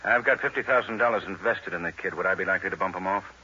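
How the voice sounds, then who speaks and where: Casino gangster, cool threat, clipped delivery, deep and forceful, muffled old radio crime show. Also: male voice, rapid-fire, breathy edge, full character voice. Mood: curt, menacing. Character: cool, menacing male casino racketeer. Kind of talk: 1940s radio crime drama acting. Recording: muffled vintage radio with faint hiss.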